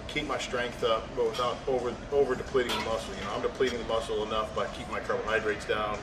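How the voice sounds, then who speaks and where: A man's voice: lowish voice, flat delivery, fast talker, music in the background. A man in his thirties, a competing bodybuilder, talking casually and matter-of-factly about his training in a large indoor gym. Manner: plain, matter-of-fact, trailing fillers. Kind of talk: casual gym talk to camera. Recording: echoey gym audio from a distance.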